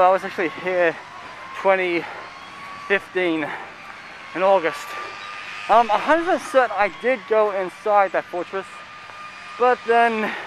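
A man's voice: high voice, background noise. Chatty man vlogging outdoors on a handheld camera. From United Kingdom. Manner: rambling, chatty, lots of "like" and "I guess". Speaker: travel vlogger. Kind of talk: casual walking tour commentary. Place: outdoors in a city park and fortress. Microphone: handheld camera at arm's length.

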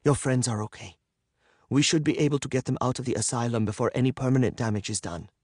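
Audiobook role: unflappable tough young woman, read by a man, lifted pitch, calm, matter-of-fact, faintly menacing, dry studio sound. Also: fast talker, no room sound, natural voice.

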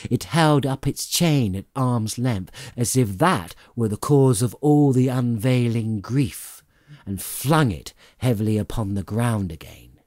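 A man's voice: medium pitch, animated, measured pace, darkish tone, dry recording, clean studio sound. A man narrating classic fiction as a dramatic audiobook reading.

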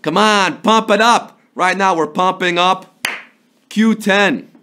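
A man in his thirties hosting a live trivia show from a studio, playful and jokey, a little hoarse from a sore throat. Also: sits high, bright, forceful tone. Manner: playful, jokey, slips into quick impressions and sung bits. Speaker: trivia game show host. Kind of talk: upbeat live quiz hosting. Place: studio before a green screen.

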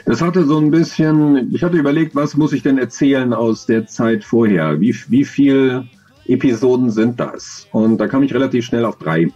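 A man in his forties, an audio drama author, talking thoughtfully in an interview over a video call, with many pauses and fillers. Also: medium pitch, dark tone, slightly rough, highs cut off. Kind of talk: relaxed conversational interview.

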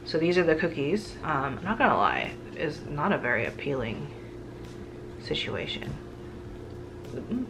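A woman in her thirties chats candidly to a close-up camera in her home kitchen. Her voice sits a little low for a woman, and her talk is loose and full of "like". She sounds unscripted and frank. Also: sing-song, a little slow, pauses often, background noise. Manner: candid, chatty, frequent "like" fillers. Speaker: food vlogger. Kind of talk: casual grocery haul chat. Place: home kitchen in front of the fridge. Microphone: camera on a counter, close framing.

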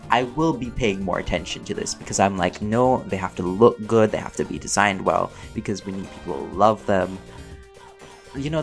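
A man giving a casual, rambling, playful Q&A as a voice actor, chatty and thinking aloud. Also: on the low side. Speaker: voice actor and audio creator answering fan questions. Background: music under the voice.

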